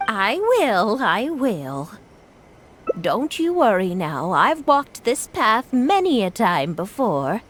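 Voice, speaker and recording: Sweet polite old granny in a game, really slow talking, lots of up and down. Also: female voice, fairly high pitch, big pitch swings, exaggerated voice. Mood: gentle, wistful. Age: older. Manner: polite, unhurried, reminiscing. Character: gentle elderly grandmother visiting a shrine. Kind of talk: video game voice acting.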